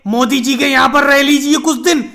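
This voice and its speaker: A man puts on an extremely high, creaky cartoon voice for a chatty politician caricature. He bickers and chats like a villager in an animated comedy skit. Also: moderate pace, exaggerated voice. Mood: earnest, hospitable.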